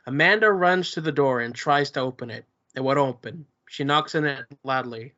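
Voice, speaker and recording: A man reads stage directions in a plain, even way, mid-pitched and steady. The recording is dull and lo-fi. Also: moderate pace.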